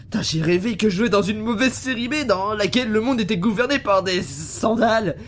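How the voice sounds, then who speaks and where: A man's voice: sits high, a little fast, fairly bright tone, slight background noise. A young man playing a bewildered, easily flustered computer geek in an amateur audio drama. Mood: groggy, grumbling.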